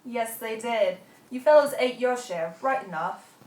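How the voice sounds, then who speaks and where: Woman doing grumpy galley cook, sailor slang, radio play, higher voice, roomy sound. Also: lively intonation, measured pace, darkish tone, recorded from a distance. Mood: grumbling. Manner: salty sailor dialect. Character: grouchy male ship's cook Alfred, scolding but soft-hearted. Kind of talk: amateur radio drama acting. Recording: echoey room, off mic.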